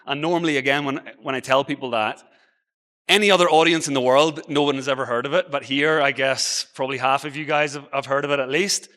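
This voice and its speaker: A man's voice: mid-range voice, steady tone, fast, bright, forceful tone. A man in his early forties with an Irish accent, giving an informal, self-deprecating motivational talk, telling personal stories conversationally. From Ireland. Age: early 40s. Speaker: travel blogger and guest speaker. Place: conference hall on stage. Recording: reverberant hall, long echo.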